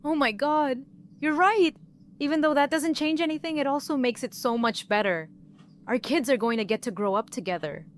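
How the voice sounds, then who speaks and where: A woman's voice: high-pitched, moderate pace, slight background noise, close to an everyday voice. A woman playing an emotional, sarcastic, blunt mother-to-be in an audio drama. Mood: tearful joy.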